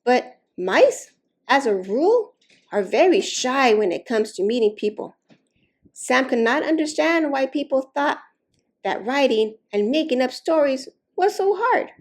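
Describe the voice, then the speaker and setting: A woman reading a children's story aloud expressively, with slow, deliberate, dramatic emphasis on key words. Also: sits high, very animated. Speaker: storytime reader.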